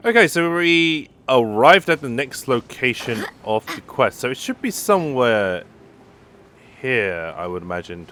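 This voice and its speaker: A man's voice: mid-range voice, sing-song, unhurried, a bit bright, a little hiss or hum. A man giving casual, offhand let's-play commentary with muttered reactions. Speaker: gaming streamer.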